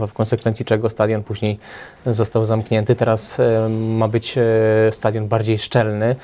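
A man in his thirties interviewing a guest in a small TV studio, with a low, mellow voice. He speaks in an even, flat way as he asks his questions. His voice is picked up by a table mic. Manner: brief acknowledgements, longer prepared questions. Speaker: interviewer, TV host.

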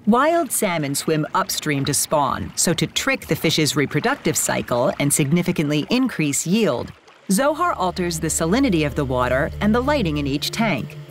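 A woman reading scripted documentary narration in a lively, playful way. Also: deep voice, moderate pace, a little hiss or hum. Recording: voice-over with music bed.

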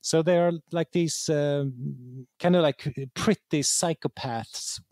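A man's voice: fairly high pitch, takes it slowly, a little mellow, dry recording, studio quality. A man with a Swedish accent explaining things conversationally as an interview guest, repeating words as he searches for phrasing. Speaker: interview guest, game writer.

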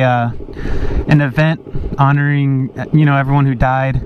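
Young man chatting on a motorbike. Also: medium pitch. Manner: chatty, rambling storyteller. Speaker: motovlogger. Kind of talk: casual riding commentary. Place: outdoors riding a motorbike on city streets. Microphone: helmet-mounted action camera. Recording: muffled, with loud wind and traffic noise. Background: wind and traffic.

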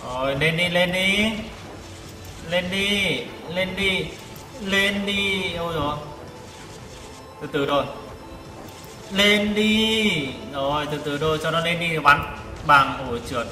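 Male gamer, live play-by-play, short repeated exclamations, distant mic with long reverb, clear music behind. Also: a little high, slow pace, pauses often. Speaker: gaming vlogger playing a tank game. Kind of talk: live gameplay commentary. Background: loud game music.

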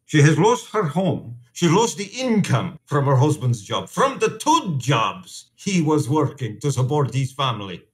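Earnest, defiant immigrant priest. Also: male voice, a little high, very animated, unhurried, few pauses. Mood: indignant, sorrowful. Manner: earnest, pleading, formal phrasing. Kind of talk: radio play acting.

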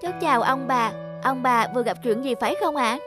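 A woman's voice: sits high, brisk, music in the background, close to an everyday voice. An actress playing a kind, caring young girl in a cartoon, speaking politely and earnestly. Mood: concerned, kind. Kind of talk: animated moral tale voice acting.

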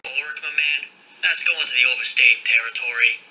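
A man's voice: mid-range voice, normal speed, bright, forceful tone, muffled recording, slight background noise. A man voicing a cocky, brash teenage boy joker in a cartoon parody dub, with a cheeky, teasing delivery.

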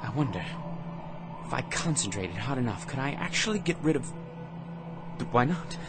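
An actor playing an obsessive office clerk who mutters to himself over a book and builds to manic fervor. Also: male voice, medium pitch, big pitch swings, moderate pace, background noise. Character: obsessive middle-aged male office clerk. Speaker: lead actor in radio drama. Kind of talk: radio drama acting. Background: music.

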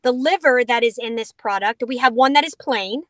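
Woman, middle-aged, chatty, enthusiastic, video call from a vehicle, higher voice, dry close sound. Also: moderate pace, a bit bright, no room sound. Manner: enthusiastic, chatty, with playful asides. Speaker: dog food company representative. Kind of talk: product explanation in a video call. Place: inside a vehicle.

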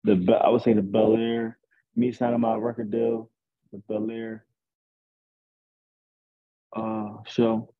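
A young man, a rapper, talking casually and boastfully in an interview over a video call, with a deep raspy voice. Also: flat delivery, slow pace, long pauses. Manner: laid-back, boastful, rambling. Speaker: rapper guest. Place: indoors at home. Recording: tinny video call audio.

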